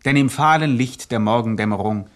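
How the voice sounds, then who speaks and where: Male narrator, middle pitch, scripted storytelling, radio gangster satire. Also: fairly quick.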